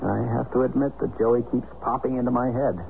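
A man's voice: medium pitch, fairly even intonation, a little fast, gravelly, close to an everyday voice. An actor playing a rueful, dry-humored husband turned reluctant father, in 1970s radio drama style. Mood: conflicted. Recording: dull, noisy old radio broadcast recording.

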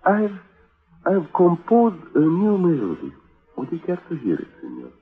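An actor playing a sly, villainous violinist and hypnotist in a 1940s radio mystery, mocking and theatrically courtly. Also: male voice, on the high side, huge pitch swings, normal speed, breathy edge, full character voice. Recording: tinny old radio recording with music.

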